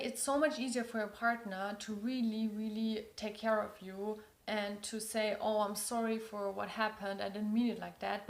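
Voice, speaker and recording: A woman's voice: medium pitch, reverberant. A woman with a German accent, a non-native English speaker, giving earnest relationship advice and repeating words for emphasis. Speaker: relationship coach. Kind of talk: advice talk to camera.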